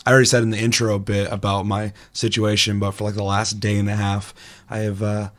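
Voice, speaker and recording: A young man with a hoarse, raspy voice hosts a casual podcast, talking in a chatty and self-deprecating way. His voice sits a little low and stays fairly flat in pitch. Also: fast talker. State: hoarse, recovering lost voice. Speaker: podcast host. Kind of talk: casual gaming podcast chat.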